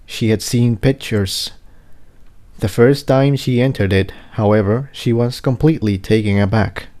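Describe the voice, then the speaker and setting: A man reading a scripted story as narration, in a measured way with the odd stumble and repeated word. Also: medium pitch, warm tone. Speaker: amateur fan fiction audiobook reader.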